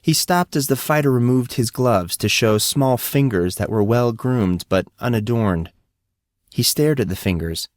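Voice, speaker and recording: A man's voice: mid-range voice, expressive, unhurried, no echo, studio quality. A man narrating a scripted fantasy story as an audiobook narrator.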